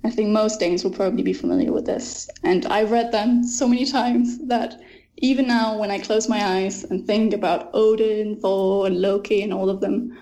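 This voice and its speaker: A young woman with a middle-range voice talks about her writing in an interview. She answers thoughtfully and enthusiastically, often pausing on an um before she goes on. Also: mid-range voice, fairly even intonation, mellow, low-quality recording. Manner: thoughtful and enthusiastic, with frequent ums. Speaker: author being interviewed.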